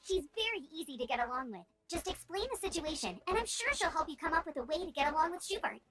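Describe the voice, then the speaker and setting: A woman's voice: high voice, very animated, quick pace, a crowd in the background. A woman playing a perky, encouraging young scout knight in a video game, speaking in an upbeat, eager, friendly way. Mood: friendly explaining. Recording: loud game music behind.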